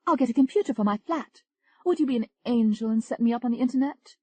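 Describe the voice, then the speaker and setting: A woman voicing an eager, flirtatious Englishwoman, raising her pitch well above her own voice. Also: fast talker, no room sound, close to an everyday voice. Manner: bright, eager, teasing.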